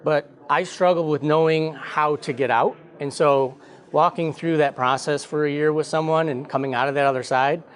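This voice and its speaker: A man's voice: a little high, fairly even intonation, moderate pace. A man from Michigan in his forties, a life coach telling his story in an interview, earnest and often repeating words as he starts. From Michigan, USA.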